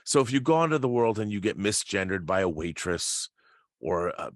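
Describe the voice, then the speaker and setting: A man's voice: lowish voice, sing-song, normal speed, no echo, clean studio sound. A middle-aged man hosting a casual, opinionated chat, talking emphatically and often stammering over repeated words. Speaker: talk show host.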